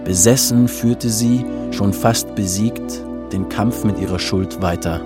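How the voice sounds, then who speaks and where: Man, low voice, solemn measured radio drama narration, unhurried pace, music behind.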